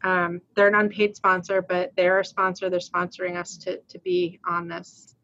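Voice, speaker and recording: A woman's voice: lowish voice, little pitch movement, moderate pace, fairly bright tone, muffled recording. A woman in her forties from Rhode Island, co-hosting a casual accounting podcast, chatty, laughing often and restarting her sentences. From Rhode Island, USA. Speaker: podcast co-host.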